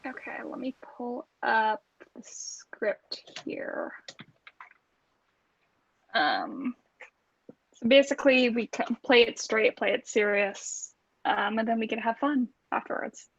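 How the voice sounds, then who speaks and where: A woman's voice: on the high side, very animated, slow pace, gravelly, pauses often, breathy edge. A woman chatting casually as a cast member on a group call, with dull headset audio. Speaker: voice actor in a podcast cast. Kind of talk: casual chat. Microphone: basic earbuds or headset on a group call.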